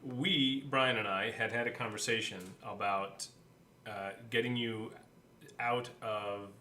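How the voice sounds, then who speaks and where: A man co-hosting a casual behind-the-scenes chat, telling stories haltingly and often restarting his sentences. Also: mid-range voice, steady tone, pushed and bright, slight background noise. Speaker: podcast co-host. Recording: echoey room sound.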